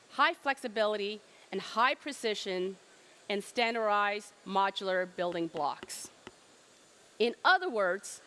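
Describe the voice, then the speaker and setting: Middle-aged woman presenter with a slightly high voice, emphatic keynote delivery and rhetorical questions. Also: a bit bright. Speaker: trade fair presenter.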